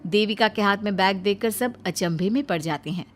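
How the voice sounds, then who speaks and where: A woman's voice: medium pitch, fairly quick. A woman narrator reads a scripted family moral tale. Music plays behind her storytelling.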